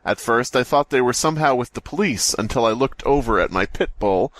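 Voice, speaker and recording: A man's voice: mid-range voice, animated, fairly quick, fairly bright tone, dry recording. Dry close-sounding man joking on a podcast. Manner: joking, sarcastic, profane. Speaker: podcast co-host. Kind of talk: casual comedic chat and mocking story read-aloud.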